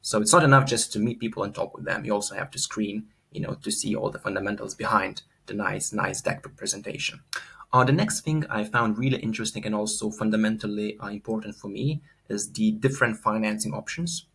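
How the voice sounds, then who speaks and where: A man's voice: on the low side, brisk, gravelly, fairly bright tone, husky. A young man from Lithuania, giving an earnest, unscripted course testimonial full of fillers and repeated words.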